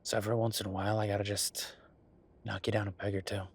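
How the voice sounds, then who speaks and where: A man's voice: lowish voice, level tone, fast talker, husky. A man playing an affectionate, teasing werewolf bureaucrat in an intimate romantic audio roleplay, speaking conversationally with murmured asides. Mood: teasing, fond. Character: Viktor, affectionate teasing male werewolf bureaucrat, weary but playful.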